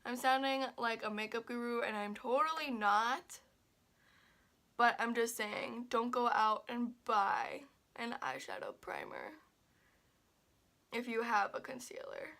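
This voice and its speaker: A young woman chatting through a makeup tutorial. She sounds stuffy and a little raspy, and she rambles with lots of fillers and restarts. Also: fairly high pitch, sharp tone. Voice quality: slightly raspy. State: stuffy and congested. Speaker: beauty vlogger.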